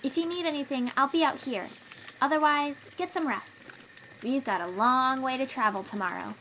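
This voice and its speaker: A young female warrior of the sea folk as a woman acts her out, with a fairly high voice. She speaks to her companion as a protective, teasing, encouraging teacher in an audio roleplay, with clear cricket and insect ambience behind her. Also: normal speed, slight background noise, poor audio. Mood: watchful, reassuring. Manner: encouraging, instructive, gently teasing. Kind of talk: audio roleplay acting addressed to the listener.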